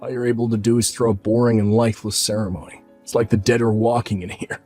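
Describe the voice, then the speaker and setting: A man's voice: medium pitch, little pitch movement, fast, dark tone, soft background music, natural voice. A man voicing a careless younger brother who mocks his sister dismissively. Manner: dismissive, mocking.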